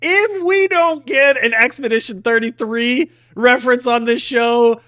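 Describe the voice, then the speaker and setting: A man hosting a casual gaming podcast with excitable, hyped-up banter. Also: very high-pitched, measured pace, no room sound, studio quality. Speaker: podcast host.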